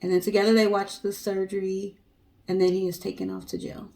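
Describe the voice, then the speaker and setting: American lady cohosting a story guessing show, normal pitch, chatty talk to viewers. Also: little pitch movement, a little fast, a little mellow, poor audio. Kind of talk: casual storytelling and commentary to viewers.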